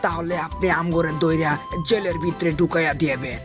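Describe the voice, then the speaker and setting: A man's voice: on the high side, fairly quick, over music, lightly acted. A man voicing a whiny, cheeky robber sidekick who nags and pleads in a comic cartoon. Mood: pleading. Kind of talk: comic cartoon dubbing in colloquial Bengali.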